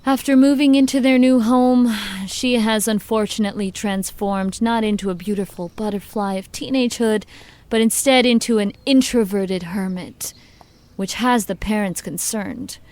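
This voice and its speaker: An actress playing a confident, persuasive paranormal investigator in an audio drama, with polished, theatrical, assured delivery. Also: female voice, mid-range voice, steady tone, moderate pace, a little mellow, natural voice. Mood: storytelling, intrigued.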